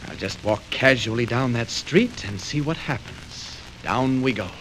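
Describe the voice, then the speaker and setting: An actor playing a bold, self-assured reporter who is secretly a superhero, brisk and declamatory in 1940s radio serial style. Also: male voice, medium pitch, expressive, natural voice. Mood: calm, scheming. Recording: hissy, crackly old radio record transfer.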